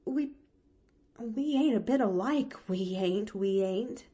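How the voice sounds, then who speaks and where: A woman's voice: mid-range voice, slow, dark tone, breathy edge. A woman voicing a flustered young hired girl with plain country speech who stammers. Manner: plainspoken, rural grammar, stammering.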